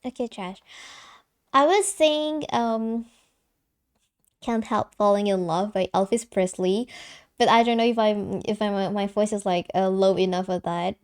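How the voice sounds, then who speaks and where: Young woman streamer, light soprano voice, chatty and giggly, talks slow and stumbles over words. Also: mid-range voice, steady tone, a little mellow, clear, resonant tone. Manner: chatty, giggly, halting with many fillers and restarts. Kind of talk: casual live-stream chat.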